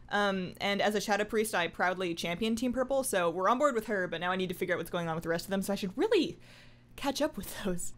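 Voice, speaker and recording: A woman chatting casually to camera as a gaming vlogger at her desk, with playful asides and self-interruptions. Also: mid-range voice. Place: indoors at a home desk.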